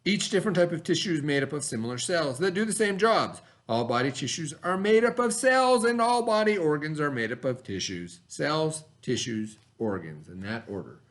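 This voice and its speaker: Higher-voiced man teaching a read-aloud lesson. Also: big pitch swings, fairly bright tone. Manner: instructional, pausing to pose and answer questions. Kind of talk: read-aloud science lesson for children with asides and questions.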